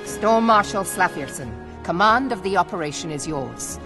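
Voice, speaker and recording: An actress playing a stern, decisive admiral in a fantasy game, authoritative and formal with archaic phrasing. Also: female voice, fairly low pitch, lively intonation, moderate pace. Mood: commanding. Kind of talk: scripted fantasy game dialogue. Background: music.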